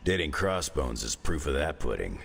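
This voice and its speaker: An actor playing a gruff, wisecracking clawed mutant veteran in a video game cutscene, with a sarcastic, joking manner. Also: male voice, big pitch swings, moderate pace, fairly bright tone, a little hiss or hum, heavily acted. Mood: mocking. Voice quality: gravelly, very deep.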